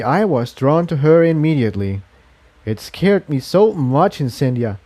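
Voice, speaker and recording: A man's voice: fairly high pitch, darkish tone, voice pushed up. A man voicing a weary, earnest engineer pony in a storybook reading, talking in a reflective, confiding way.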